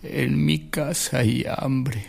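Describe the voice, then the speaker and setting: An actor playing a man who recounts anecdotes in a radio drama, with an unhurried, theatrical delivery. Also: medium pitch, fairly even intonation, gravelly, darkish tone, full character voice. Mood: reverent storytelling. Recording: music bed under the voice.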